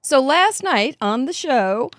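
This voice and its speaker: A woman's voice: high voice, very animated, slow pace, a crowd in the background. A woman co-hosting a livestream, upbeat as she introduces the guests. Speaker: co-host.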